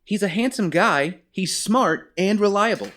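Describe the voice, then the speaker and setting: A man's voice: high voice, lively intonation, measured pace, fairly bright tone. Glib young rich guy, played by a man, swooping intonation. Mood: teasing. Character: teasing young billionaire brother, glib and secretive. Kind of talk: audio drama acting.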